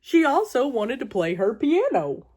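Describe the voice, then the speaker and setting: A woman voicing an earnest male elephant in a storybook, telling his woes in a deliberate, drawn-out, dramatic way. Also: sits high, sing-song, darkish tone, slightly rough, heavily acted. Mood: grave, dramatic.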